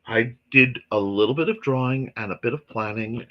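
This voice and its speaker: A man hosting a casual morning livestream, his voice a bit hoarse and scratchy, talking in an apologetic, rambling way with lots of fillers. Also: mid-range voice, normal speed, highs cut off. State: slightly hoarse from a reaction. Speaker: host of a creative morning show.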